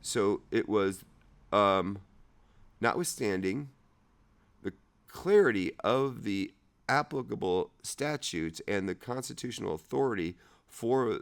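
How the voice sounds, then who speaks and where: A man reading legal text aloud haltingly, rereading and correcting himself, with irreverent, offhand commentary of his own. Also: deep voice, gravelly, smooth. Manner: irreverent, sarcastic asides. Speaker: amateur reader of a legal document.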